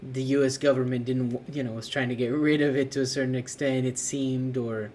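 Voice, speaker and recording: A man's voice: mid-range voice, a little fast, a little hiss or hum. Casual man chatting on a podcast. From California. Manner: relaxed, searching for words, frequent fillers. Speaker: podcast co-host.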